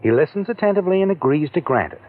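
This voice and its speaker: A man's voice: on the high side, animated, racing, phone-quality sound. A male narrator speaking to the listener in second person, with a taunting, insinuating manner, in an old radio recording.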